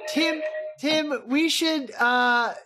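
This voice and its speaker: A woman co-hosting a podcast in casual chat, with a gushing, excitable way of talking. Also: high voice, extremely slow, faint music behind. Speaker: podcast co-host.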